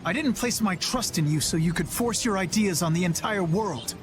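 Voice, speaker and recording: A man as a high-voiced, earnest young knight. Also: moderate pace, background noise, close to an everyday voice. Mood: resolute. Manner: righteous, formal, intense. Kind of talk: video game cutscene acting.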